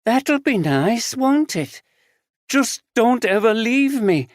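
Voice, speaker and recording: A man voicing a disturbed middle-aged woman, slow and dreamy, then sharp and pleading. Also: very high-pitched, sing-song, no echo, studio quality, pitched above the performer's own voice, full character voice. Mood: eerie tender.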